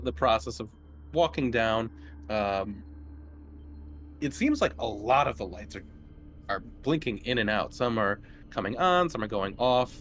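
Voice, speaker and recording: A man running a tabletop horror game, narrating casually and haltingly as he feels out each description. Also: medium pitch, a bit bright, slight background noise. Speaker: game master.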